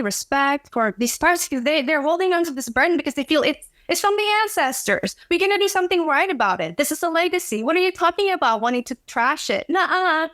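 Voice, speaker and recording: A woman's voice: on the high side, fairly bright tone, no room sound. A woman from Indonesia, not a native English speaker, speaking earnestly as a psychologist guest in an interview from her home office. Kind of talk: conversational interview.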